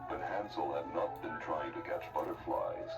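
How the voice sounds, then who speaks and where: A man narrating a fairy tale in a theatrical, expressive storyteller's style. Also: very deep voice, normal speed, creaky. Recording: distant voice under loud music.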